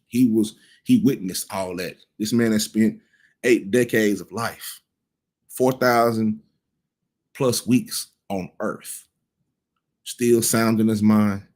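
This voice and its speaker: A man's voice: fairly low pitch, a little mellow. A man in his thirties giving casual cultural commentary, blunt and profane, rambling with frequent restarts. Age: 30s.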